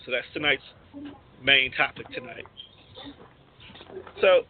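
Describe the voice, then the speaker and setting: A man's voice: mid-range voice, slow pace, a little hiss or hum. A man hosting a casual podcast, talking in slangy, teasing banter on a muffled recording. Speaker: podcast host.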